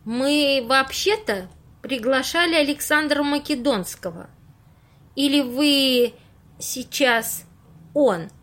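An actress plays an earnest, wondering woman in an audio drama. She has a high voice and speaks very slowly and deliberately, with a naive air. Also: lively intonation, a bit bright, full character voice. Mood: insistent, wary. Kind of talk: amateur audio play acting.

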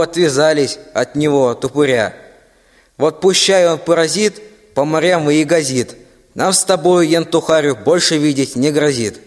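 An actor playing a toadying, scheming general in a rhymed satirical radio play. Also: male voice, on the high side, lightly acted. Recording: long hall reverb.

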